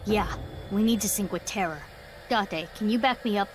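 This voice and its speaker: A woman's voice: medium pitch, moderate pace, a little hiss or hum, lightly acted, poor audio. A woman playing a feisty, impatient teenage girl investigator in an anime-style video game, speaking in a blunt, exclamatory way. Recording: music behind the voice.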